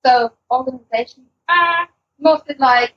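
A woman's voice: high voice, a little slow, husky. A woman chatting casually as a podcast panelist with dry humour, on a muffled recording. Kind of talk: casual chat.

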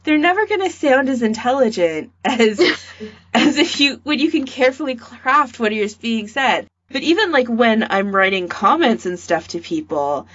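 A woman's voice: fairly high pitch, lively intonation, normal speed, fairly bright tone, slightly rough, muffled recording. A woman co-hosting a podcast in casual discussion. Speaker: podcast co-host.

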